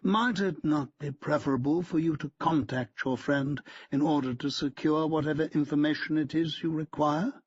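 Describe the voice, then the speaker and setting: A man's voice: on the high side, fairly even intonation, moderate pace, few pauses, lo-fi sound, pitched above the performer's own voice, lightly acted. A man voicing a pompous, stiff middle-aged barrister who talks in a haughty, clipped, precise way.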